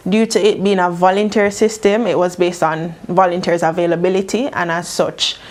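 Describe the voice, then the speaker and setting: A young woman with a Jamaican accent speaking in articulate, formal wording as an interviewed student leader. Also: fairly low pitch. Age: young adult. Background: faint background music.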